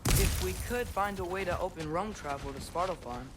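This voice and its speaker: A man's voice: high-pitched, brisk, background noise, natural voice. An actor playing a curious, eager teenage boy adventurer in a video game, speaking in an earnest, casual way. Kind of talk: video game character acting.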